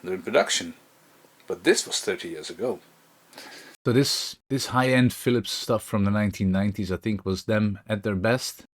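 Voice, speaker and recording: Man, slightly low pitch, dry recording, unscripted technical narration, measured delivery. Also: dry recording. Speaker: presenter.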